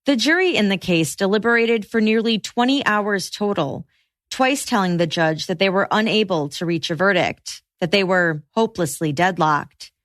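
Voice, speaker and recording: A woman hosting a podcast, reading scripted true crime narration in a measured, matter-of-fact way. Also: medium pitch, a bit bright, no echo, studio quality.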